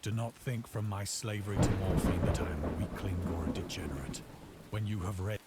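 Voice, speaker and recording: An actor playing a despairing, penniless addict, narrating a confession in a grave, literary way for a game. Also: male voice, sits low, brisk, heavy background noise, close to an everyday voice.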